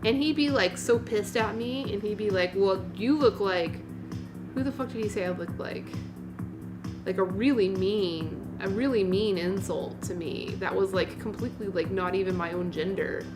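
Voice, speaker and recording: A woman in her late thirties hosts a casual livestream with clear music playing behind her. Her voice sits at an average pitch for a woman. She talks in an informal, rambling way, peppered with filler "like" and exclamations as she responds to viewers. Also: moderate pace. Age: late 30s. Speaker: livestream host.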